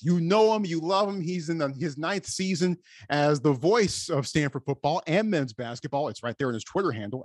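A man with an American accent hosts a sports interview show. His voice sits a little high, and he speaks in long, emphatic phrases. The sound is dry and close. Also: dry recording. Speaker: sports podcast host.

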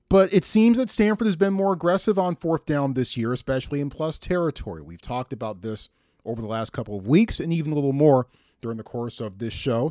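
An American man hosting a sports podcast, giving preview commentary to listeners in emphatic, deliberate phrases. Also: a little high, a little mellow, no room sound, clean studio sound.

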